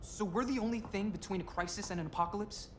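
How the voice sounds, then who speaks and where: A man's voice: sits high, fast talker, heavy background noise, close to an everyday voice. Man playing an eager, nervy teenage superhero. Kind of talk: video game cinematic acting.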